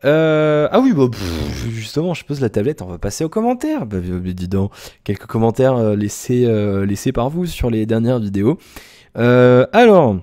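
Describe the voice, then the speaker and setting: A man's voice: medium pitch, sing-song, normal speed, mellow. A tired young man chatting loosely in a daily vlog, with many fillers and sighs. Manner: rambling, many fillers and sighs, informal slang. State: tired, yawning. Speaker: YouTuber giving daily tech news and channel update. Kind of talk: casual vlog chat.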